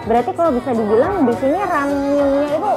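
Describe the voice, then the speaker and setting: A woman hosting a casual interview, chatty and upbeat and quick to laugh, recorded in a busy restaurant. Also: sits high, lively intonation. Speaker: talk show host. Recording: loud music and crowd chatter. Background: music and diners chattering.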